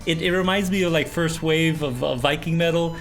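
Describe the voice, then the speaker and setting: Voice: mid-range voice, a little fast. A music reaction co-host chatting casually, with slangy, jokey talk and some swearing.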